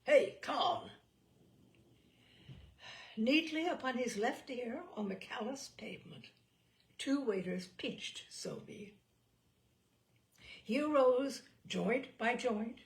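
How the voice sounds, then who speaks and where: Female storyteller for classic fiction. Slow, theatrical delivery with a gravelly edge. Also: mid-range voice. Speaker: story reader. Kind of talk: expressive audiobook narration of classic short fiction.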